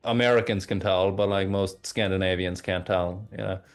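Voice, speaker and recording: Low-pitched Norwegian guy chatting casually. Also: fairly even intonation, moderate pace, clean tone, soft background music. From Oslo, Norway. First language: bilingual English and Norwegian from childhood. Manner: informal, hesitant, repeats words while thinking. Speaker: interview guest. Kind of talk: casual conversational chat.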